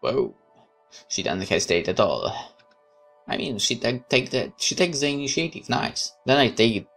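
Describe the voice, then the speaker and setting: A woman's voice: very deep voice, very animated, normal speed, creaky, fairly bright tone, faint music behind. A woman reading a visual novel aloud expressively, with casual commentary as she plays.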